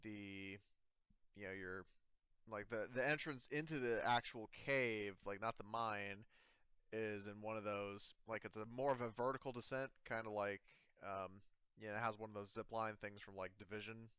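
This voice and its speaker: A man improvising tabletop game narration as game master in a casual, halting, self-correcting way. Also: on the low side, fairly even intonation, a bit bright, clear, resonant tone, dry recording.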